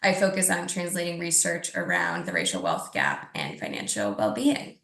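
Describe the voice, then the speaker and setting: A young woman speaking on a panel, measured and careful, pausing on fillers. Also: low-pitched, huge pitch swings, fairly bright tone, roomy sound. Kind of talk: panel introduction.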